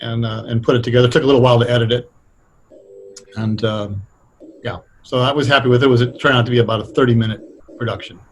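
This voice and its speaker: American guy, older-ish, normal pitch, explaining stuff in an interview, kinda halting and thoughtful. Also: steady tone, a little hiss or hum, low-quality recording. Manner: hesitant, thoughtful, halting delivery. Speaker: interview guest, radio playwright. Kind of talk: conversational explanation.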